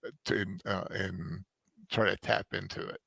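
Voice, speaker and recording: A man's voice: low voice, little pitch movement, moderate pace, creaky, pushed and bright, husky, dry recording, clean studio sound. A man co-hosting a casual podcast, talking hesitantly and searching for words. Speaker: podcast co-host. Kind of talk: casual discussion.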